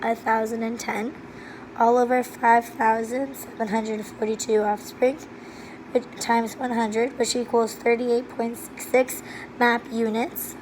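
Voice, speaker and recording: Hoarse woman explaining calculations. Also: fairly high pitch, unhurried, noisy background. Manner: steady, methodical, step-by-step. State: hoarse from laryngitis. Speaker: student presenter. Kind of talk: recorded slide walkthrough.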